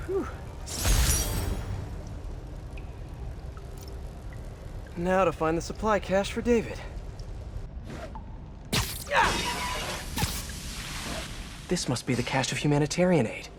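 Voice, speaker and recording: An actor playing a youthful, kind-hearted superhero in a video game, with an American accent and a casual, wry, friendly way of talking. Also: male voice, high voice, expressive, fairly quick. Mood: wry, musing. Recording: clear background noise.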